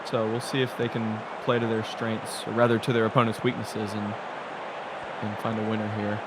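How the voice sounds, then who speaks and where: A man chats through a simulated soccer match as color commentator. He breaks down tactics at length and gets excited at near-misses. Heavy noise sits under his voice. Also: fairly low pitch, normal speed. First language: English. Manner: chatty, analytical, excitable. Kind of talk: casual sim soccer commentary.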